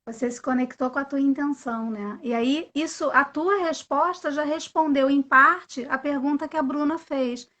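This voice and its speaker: A woman who teaches English, hosting a live video call and explaining in a casual, animated way, often asking questions and giving examples. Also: sits high, normal speed, few pauses.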